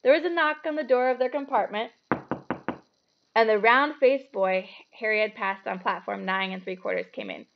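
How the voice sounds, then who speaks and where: High, creaky-voiced woman reading a story. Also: very animated, moderate pace, lo-fi sound, slight background noise. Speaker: read-aloud storyteller. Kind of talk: expressive children's book reading with casual asides.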